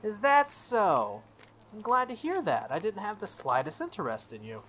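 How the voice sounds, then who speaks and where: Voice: mid-range voice, very animated, moderate pace, fairly bright tone, a little hiss or hum, voice pushed up. The player of a visual novel voicing a confident teenage schoolgirl, polite and breezy with a cutting edge. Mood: coolly cutting.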